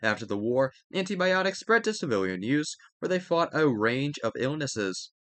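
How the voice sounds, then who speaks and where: Male educational reader, mid-pitched, highly animated intonation, prone to small slips and self-corrections, dry close recording. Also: moderate pace, no echo. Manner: stumbles over words and corrects himself. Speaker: reader and host of study videos. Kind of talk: reading a textbook aloud with added comments.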